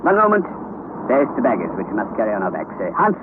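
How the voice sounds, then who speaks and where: An actor playing a fierce, excitable older uncle and explorer in an old radio drama, declaiming theatrically and giving commands. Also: male voice, fairly low pitch, fast talker, narrow, tinny audio. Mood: commanding. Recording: thin, band-limited vintage radio recording, noisy.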